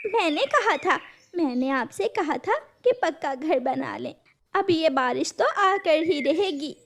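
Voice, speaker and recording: Cartoon mother bird, played by a woman: very high, fretful, plaintive, reproachful. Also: animated, measured pace. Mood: worried. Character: anxious, scolding mother sparrow grieving her lost egg. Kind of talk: Urdu cartoon voice acting.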